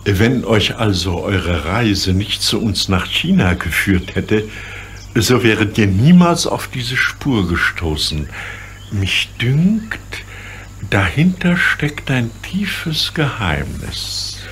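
Man playing slow, dignified old Chinese emperor. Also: lowish voice, sing-song, a bit bright, a little hiss or hum, exaggerated voice. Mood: solemn. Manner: stately, formal, measured old-fashioned speech. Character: dignified, solemn old Emperor of China, worried father. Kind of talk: radio play acting for children.

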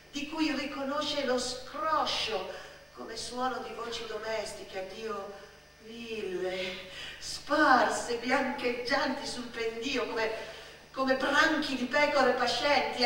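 A woman from Italy telling a theatrical monologue as a stage storyteller, expressive and declamatory. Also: a little high, a little hiss or hum. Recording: echoey hall, distant stage microphone.

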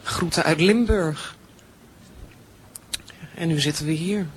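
A woman's voice: sits low, slow pace, husky, exaggerated voice. Woman playing sceptical, matter-of-fact grown daughter. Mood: dry, frank. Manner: matter-of-fact, teasing, debunking. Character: sober, sceptical grown daughter, a criminal lawyer. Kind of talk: improvised radio play dialogue.

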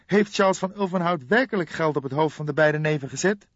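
Man acting an eager young detective, pitched up. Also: fast talker, natural voice. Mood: insistent. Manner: urgent questioning. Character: eager young male detective, probing and insistent. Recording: boxy, echoey, dull recording.

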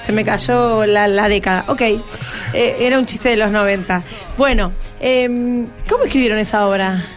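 A woman's voice: medium pitch, moderate pace, soft background music. A woman with an Argentine accent hosting an informal radio interview with students. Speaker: radio host.

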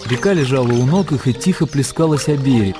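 A man narrating a literary radio drama in a measured storytelling manner. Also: medium pitch. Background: music.

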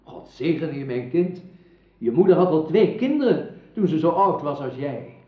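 A man's voice: on the high side, lively intonation, moderate pace, natural voice. An actor playing a kindly but firm noble father in a radio play, urging in a paternal way. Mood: fatherly, firm. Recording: roomy, dull old radio drama recording.

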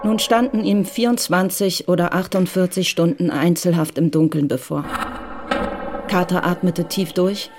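A narrator telling a crime radio play in even, measured, scripted narration. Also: mid-range voice, background noise.

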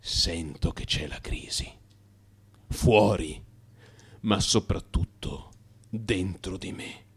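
A man's voice: low-pitched, takes it slowly, long pauses, breathy edge, exaggerated voice. An actor playing an anxious married man in crisis, confessing to his analyst in an agitated, emphatic radio theatre monologue. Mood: oppressed, mounting. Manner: agitated, emphatic, swinging from hushed mutter to outburst.

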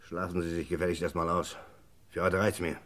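A man's voice: low-pitched, flat delivery, brisk, a bit bright. A man acting a guilty middle-aged businessman in a radio crime drama, evasive, blustering and threatening. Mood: irritated, threatening.

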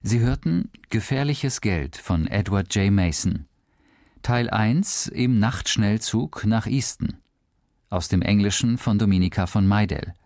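A man reading scripted credits as a radio announcer in a measured, formal style. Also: lowish voice, darkish tone, dry recording, clean studio sound.

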